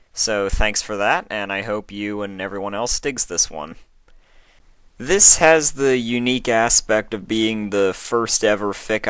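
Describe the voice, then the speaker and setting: A man's voice: low-pitched, fairly bright tone, clear, resonant tone, no echo. A man giving a casual, hesitant introduction as the host of a fan fiction reading channel, in an informal, apologetic way. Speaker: fan fiction reader hosting own channel.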